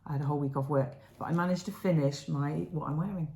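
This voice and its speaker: A middle-aged woman from England, co-hosting a knitting podcast in casual, rambling chat, her voice still croaky from illness. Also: low voice, steady tone, quick pace, warm tone, reverberant. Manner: chatty, rambling, many fillers. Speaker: podcast co-host. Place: home room.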